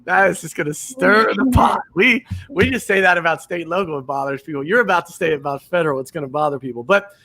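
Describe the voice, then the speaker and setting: A man's voice: a little high, gravelly, pushed and bright. A man hosting a podcast, joking and bantering with his guests in casual chat. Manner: joking, quick back-and-forth banter. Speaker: podcast host.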